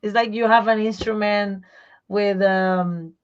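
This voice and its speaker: Animated woman voice coach, slightly dull audio. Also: mid-range voice, slow pace, clean tone. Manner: animated, explains with examples and laughs; searches for English words, restarts phrases. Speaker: voice teacher and singer, podcast guest. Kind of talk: casual interview talk.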